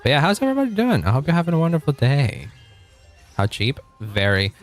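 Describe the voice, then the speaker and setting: A man from Argentina streaming casually, rambling, correcting himself and chuckling as he reads chat, with a deep voice. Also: wildly swooping pitch, a little fast, soft background music.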